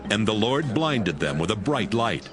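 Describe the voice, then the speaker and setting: A man's voice: on the low side, big pitch swings, measured pace. A man narrating a dramatized Bible audiobook from a script. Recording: music behind the voice.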